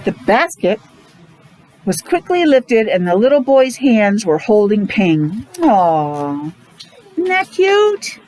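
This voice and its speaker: A woman reading a picture book aloud to young children, expressive, adding explanations and questions along the way. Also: medium pitch, takes it slowly, slight background noise, low-quality recording. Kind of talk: animated read-aloud storytelling with asides to young children.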